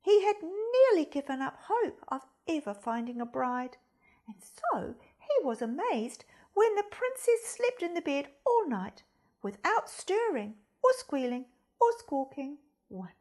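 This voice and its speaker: A woman storyteller reading a children's storybook expressively, with theatrical, deliberate phrasing. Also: high voice, sing-song, darkish tone. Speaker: storyteller, primary school reader.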